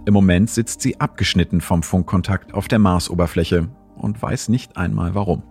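A man's voice: low voice, normal speed, darkish tone, faint music behind. A man hosting and reading scripted science narration in a documentary style.

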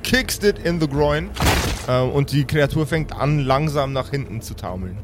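A man with a medium-pitched voice works as game master in an improvised tabletop game. He switches between narration and banter, dropping in English words and swearing. Noticeable noise sits behind him. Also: lively intonation, unhurried.

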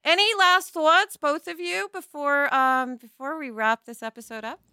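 A woman's voice: high voice, fairly even intonation, moderate pace, bright, forceful tone, no room sound, studio quality. A woman hosting a podcast, talking casually in an emphatic, candid way. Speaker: podcast host.

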